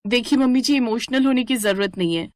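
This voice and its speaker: A spoiled young city wife from an animated moral tale, performed by a woman. She pitches high and sounds whiny, haughty and demanding, in a dry, close recording. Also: a little fast, no room sound, close to an everyday voice. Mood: dismissive.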